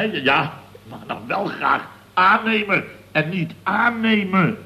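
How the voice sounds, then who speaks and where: An actor playing a blustering, exasperated middle-aged contractor boss who rambles indignantly in a radio comedy. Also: male voice, on the high side. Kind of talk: radio comedy serial acting. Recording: dull, noisy old radio recording.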